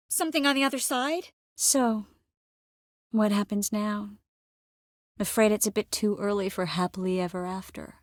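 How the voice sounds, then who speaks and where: A young sorceress from a fantasy video game, played by a woman, recorded very dry and close. She is caring and passionate with a wry edge, speaking at a medium pitch in an intimate, expressive, clearly articulated way. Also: no echo, close to an everyday voice.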